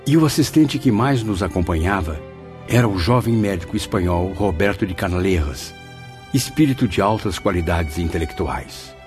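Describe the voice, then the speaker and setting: An actor playing a reflective, admiring spirit who narrates memories in formal, literary language in a radio drama. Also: male voice, low voice, expressive, normal speed, soft background music. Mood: respectful. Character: reflective, admiring spirit recalling his afterlife companions.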